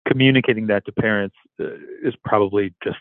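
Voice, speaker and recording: Man, interviewer, conversational, low voice, phone-like sound. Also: fairly even intonation, fast talker, no room sound. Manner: conversational, summarizing. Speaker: interviewer host. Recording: tinny phone line, dry.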